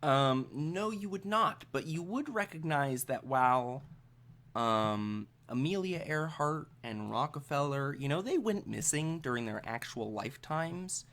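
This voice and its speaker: A game master narrating an improvised tabletop role-playing game in a conversational, halting way, repeating phrases while thinking. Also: medium pitch, expressive, a bit bright.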